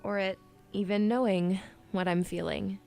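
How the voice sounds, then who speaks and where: A woman's voice: mid-range voice, fairly even intonation, unhurried, gravelly, a little mellow, faint music behind. A woman playing a plucky, awkward young princess in an audio drama, talking in a casual, blunt way. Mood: candid.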